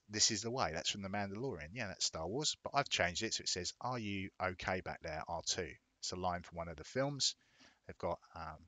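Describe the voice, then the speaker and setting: A man narrating a casual step-by-step software tutorial in an explanatory, reassuring manner. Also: deep voice, no echo. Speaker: tutorial presenter. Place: indoors, voice-over of a screen recording.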